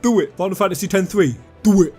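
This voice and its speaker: A man's voice: high-pitched, quick pace, mellow, slightly rough, soft background music. A British man hosting casual fan commentary, talking to viewers in an emphatic, chatty, enthusiastic way. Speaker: video host.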